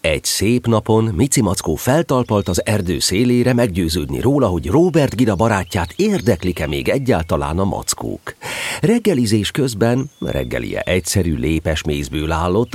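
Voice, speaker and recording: A man narrating a children's story in an expressive, scripted storybook style. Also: low voice, husky.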